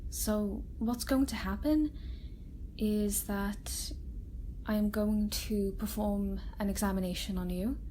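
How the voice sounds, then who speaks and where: A woman playing a kind, gentle scientist doctor in a soft-spoken ASMR roleplay, reassuring a frightened alien patient with careful, halting explanations. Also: medium pitch, steady tone, a little hiss or hum, lightly acted, poor audio. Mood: calm, reassuring. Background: sci-fi room ambience.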